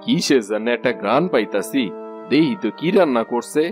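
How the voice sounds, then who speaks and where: A man playing a sentimental, soft-hearted village thief in a cartoon, with a rural Bangladeshi Bengali accent. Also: mid-range voice, brisk, natural voice. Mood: wistful. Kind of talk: cartoon voice acting. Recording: music behind the voice.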